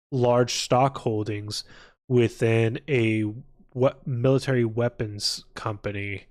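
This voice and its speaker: A man's voice: lowish voice, darkish tone. A man streaming live, chatting casually in a rambling, irreverent way with plenty of swearing. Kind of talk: casual livestream chat.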